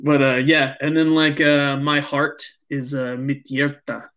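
A young man co-hosting a casual podcast chat, talking haltingly with many fillers and restarts. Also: a little high, little pitch movement, a bit bright, highs cut off. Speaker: podcast co-host.

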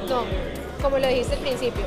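A Colombian woman in her thirties with a high voice speaks as an interview guest. She talks in a chatty way and drops English business words into her speech. Clear music and crowd chatter sit behind her. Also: level tone, fairly quick. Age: 30s. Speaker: guest, startup founder. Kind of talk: casual interview talk.

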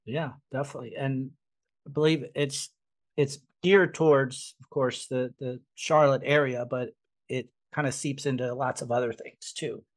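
A man with a mid-range voice hosts a casual interview. He asks his questions loosely and easily, without rushing. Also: medium pitch, fairly even intonation. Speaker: interview host.

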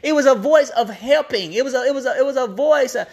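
A woman's voice: high-pitched, quick pace, darkish tone, lo-fi sound. A woman preacher delivering an impassioned, off-the-cuff sermon, repeating phrases emphatically to build up her point.

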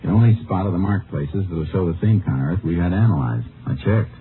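An actor playing a determined Texas Ranger in a 1950s radio drama, an American with a folksy, clipped, understated delivery. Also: male voice, sits low, lively intonation, fairly quick, slight background noise. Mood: earnest, determined. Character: laconic, determined male Texas Ranger investigator, also narrating. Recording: muffled old radio recording, narrow band.

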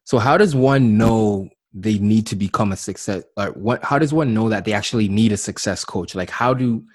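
A man's voice: lowish voice, moderate pace, husky, no room sound, clean studio sound. A man hosting a podcast interview, affirming his guest and often repeating words as he talks. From Canada. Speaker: podcast host. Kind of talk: casual interview chat.

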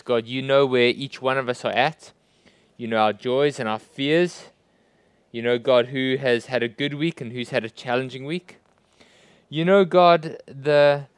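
A man's voice: mid-range voice, smooth, no room sound. A man with a South African accent, preaching a sermon as a guest pastor, praying and then teaching with friendly joking asides. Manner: friendly, joking asides, rising emphasis on rhetorical questions. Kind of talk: sermon with opening prayer.

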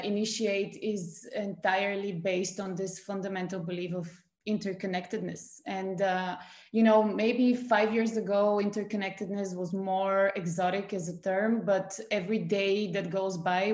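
A woman's voice: mid-range voice, a little mellow, roomy sound. A woman from Bulgaria, a guest in an interview, speaking thoughtfully with many fillers and restarts. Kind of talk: conversational interview.

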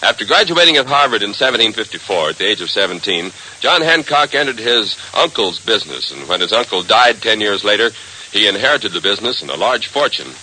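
A man's voice: lowish voice, sing-song, a little fast, pushed and bright, rough recording. An American man announcing and narrating an old radio serial in a dramatic, declamatory style. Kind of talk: 1940s radio scripted narration. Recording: hissy old radio recording.

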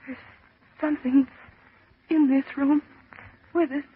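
A woman's voice: high voice, fairly even intonation, takes it slowly. An actress in an old-time radio thriller playing a terrified, helpless young woman near hysteria, pleading and repeating her phrases. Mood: haunted fear. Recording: dull, noisy old radio broadcast.

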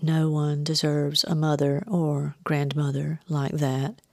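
A woman voicing a sardonic, calm grown daughter with deadpan, understated delivery for a storybook. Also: low-pitched, takes it slowly, no room sound, clean studio sound. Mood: flat, sardonic. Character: dry, sardonic, resolutely calm adult daughter.

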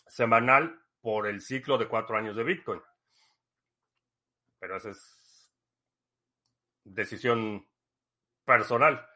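A man, hosting a podcast, answering listener questions in a relaxed, rambling chat full of fillers. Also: medium pitch. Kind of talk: casual live-stream chat.

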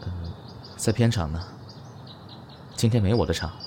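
Low-voiced, laconic young film star played by actor. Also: male voice, warm tone, a little hiss or hum, natural voice. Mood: casual, noncommittal. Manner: laconic, casual, unhurried. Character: calm, laid-back young male film star. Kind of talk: audio drama dialogue.

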